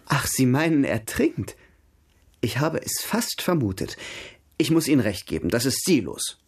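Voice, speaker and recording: Lebhafter junger Gentleman, belegte Stimme. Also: mid-range voice, very animated, unhurried, husky, no room sound, full character voice. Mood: polite, persuasive. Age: young adult. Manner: polite, eloquent, teasing. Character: charming, eloquent young gentleman, lively and playful. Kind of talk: radio drama dialogue, period literary adaptation.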